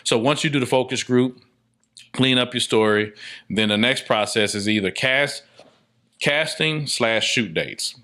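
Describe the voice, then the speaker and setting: A man's voice: medium pitch, measured pace, sharp tone. A man speaking as a podcast guest, explaining a process step by step in casual talk, repeating phrases for emphasis. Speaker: podcast guest, filmmaker and playwright.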